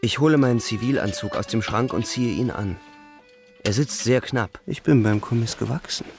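An actor playing a young, weary, shaken soldier on leave, narrating his thoughts in a halting, introspective radio-drama manner. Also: mid-range voice, gravelly, soft background music, close to an everyday voice. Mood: pensive. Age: young adult. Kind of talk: radio drama, first-person interior narration.

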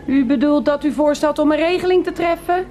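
An actress playing a self-important security guard in a radio drama, pompous and formal, always saying "we". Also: female voice, high voice, fairly quick, mellow, a faint crowd, lightly acted. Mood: officious. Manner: pompous, formal, speaks as royal we.

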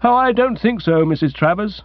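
A man's voice: sits high, animated, moderate pace, dry recording, muffled recording, voice pushed up. A man voicing a meek, flustered young man with a stammering, apologetic manner in a comic audiobook. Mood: apologetic, nervous. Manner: stammering, conciliatory.

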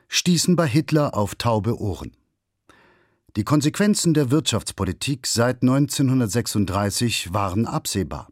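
A man's voice: mid-range voice, a little mellow, no room sound, studio quality. A man reading a scripted historical documentary as its narrator.

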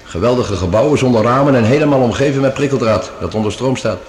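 A man's voice: mid-range voice, fast, lightly acted. A male actor in a radio play as an affable curator on a Mars base, talking casually with a gossipy, hesitant manner. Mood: gossipy, puzzled. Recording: very echoey cave-like hall, faint noise.

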